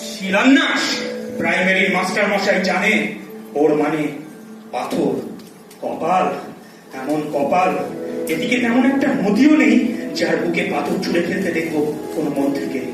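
A man with a fairly high voice recites verse dramatically. His voice is very reverberant and slightly distant, with music playing behind. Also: animated, normal speed, pauses often. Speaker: recitation performer. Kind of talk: dramatic recitation of Bengali poetry.

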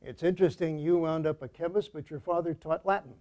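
A man's voice: on the high side, fairly quick, dark tone. A man who is a priest and university archivist, formally introducing and questioning a guest in an oral history interview. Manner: formal, courteous, frequent affirming murmurs.

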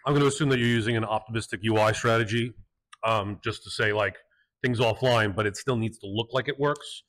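A man in the audience of a small cafe meetup asks a long, winding question, working through his thinking aloud. His voice sits fairly low. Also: brisk, fairly bright tone. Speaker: audience member.

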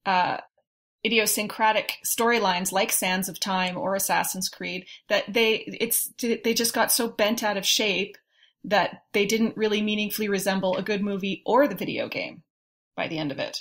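Female panelist, medium pitch, conversational and informal. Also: normal speed, fairly bright tone. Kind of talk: casual discussion.

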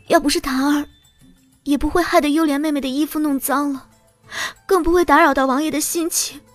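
Sly, playful young princess played by a female narrator, high voice, theatrical, audiobook romance, music underneath. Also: steady tone, fairly quick, no room sound, close to an everyday voice. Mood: meek, tearful. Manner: theatrical, switching between meek and gleeful. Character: playful young princess consort, sly, faking meek sobs. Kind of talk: Chinese costume romance audiobook acting.

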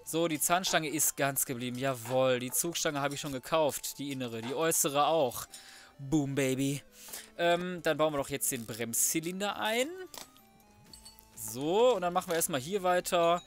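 A man with a slightly high voice commentates a video game in a casual, chatty way. He talks himself through what he is doing in loose slang, with music faintly behind him. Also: normal speed. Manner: chatty, self-narrating, slangy. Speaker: let's player commentating.

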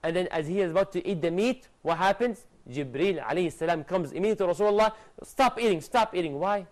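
A young man presenting a religious TV lesson to studio guests, animated and gesturing, reciting Arabic verses quickly, on a lapel mic in a studio. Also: sits high.

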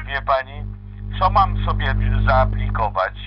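A man's voice: medium pitch, big pitch swings, unhurried. A man improvising a pretend-play phone dialogue in a homemade audio play, talking in a polite, hesitant, questioning way. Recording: dull lo-fi playback with guitar music over it.

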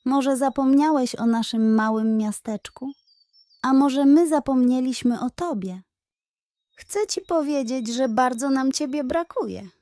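A woman's voice: high-pitched, a little slow, warm tone, no room sound, clean studio sound. A woman voicing a curious, earnest little boy full of longing in a bedtime story. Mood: earnest, wistful.

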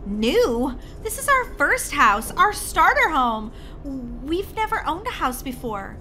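A woman playing an eager, expressive young wife hunting for a first home in a game roleplay story. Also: high voice, slow pace, faint music behind, full character voice, rough recording. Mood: excited.

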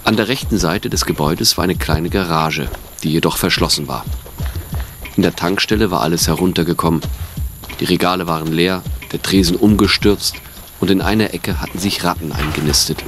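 A man's voice: sits low, a little fast, fairly bright tone, faint music behind. A man reading scripted narration for an audio drama. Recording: clearly noisy recording.

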